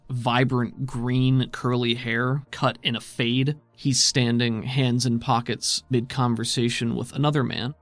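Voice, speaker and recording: A man narrating a tabletop role-playing game as game master, descriptive and measured with casual asides. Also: mid-range voice, a bit bright, dry recording. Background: background music.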